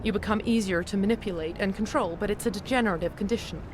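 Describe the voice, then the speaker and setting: A woman's voice: medium pitch, fast, background noise, lightly acted. An actress playing a frightened, pleading neurospecialist in a video game, earnest and anxious as she explains herself. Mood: uneasy, explaining. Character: frightened, pleading female neurospecialist working for a villain. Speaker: scientist character. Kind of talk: dramatic video game acting.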